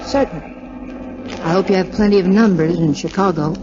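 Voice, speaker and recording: A woman's voice: on the low side, brisk, lightly acted. An actress playing a businesslike, tart young woman in a 1940s radio drama. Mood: brisk, tart. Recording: muffled 1940s radio recording, music behind.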